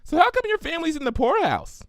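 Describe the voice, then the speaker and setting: A man voicing a bubbly, silly young female cartoon pony, chirpy and excitable, in a story reading. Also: very high-pitched, fast talker, no echo, clean studio sound, pitched above the performer's own voice, heavily acted. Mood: puzzled, curious.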